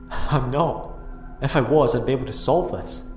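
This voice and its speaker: A man's voice: mid-range voice, unhurried, a little mellow, natural voice. A man playing an anxious, self-doubting time-travelling alien hero in an audio drama. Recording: echoey reverberant space, faint music.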